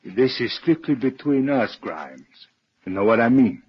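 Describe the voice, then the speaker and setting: A fussy crime boss in a vintage radio drama. He speaks huskily, with false politeness over quiet menace. The old recording sounds dull and very dry. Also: mid-range voice, very animated, measured pace, slightly rough, no room sound, heavily acted. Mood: quietly threatening. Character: smooth-talking, fussy, menacing male nightclub racketeer boss. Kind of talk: 1940s-50s radio acting.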